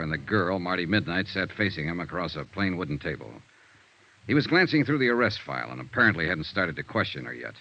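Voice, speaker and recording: An actor playing a hard-boiled insurance investigator, narrating crisply and dramatically in 1950s radio detective style. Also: male voice, on the low side, bright, forceful tone, full character voice. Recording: dull vintage radio recording.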